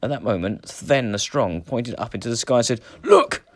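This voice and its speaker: A man reading a children's story aloud with a dramatic, expressive delivery. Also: mid-range voice, brisk, dry recording, clean studio sound. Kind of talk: animated children's story narration.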